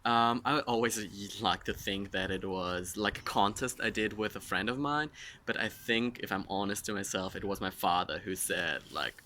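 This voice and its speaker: A man's voice: low-pitched, sharp tone. A man in his twenties with a German accent, answering his followers' questions in a frank, self-mocking, rambling way. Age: 20s. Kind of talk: casual Q&A monologue.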